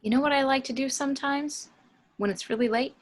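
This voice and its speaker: A woman's voice: high voice, brisk, natural voice. A woman playing a confident, flirtatious morgue doctor in an informal script read, wry and matter-of-fact. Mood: flirty, confiding.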